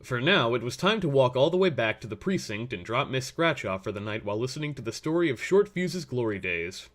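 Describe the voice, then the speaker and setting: Man, story narrator, average male pitch, scripted reading. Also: big pitch swings, fairly quick, fairly bright tone.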